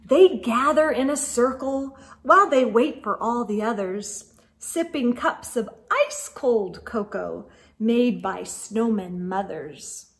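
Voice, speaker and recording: Animated, high-voiced woman reading to children. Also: takes it slowly. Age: middle-aged. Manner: animated, sing-song, addressing children directly. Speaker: host of children's storytime show. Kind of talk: expressive read-aloud of a picture book for children. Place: indoors in a room lined with bookshelves.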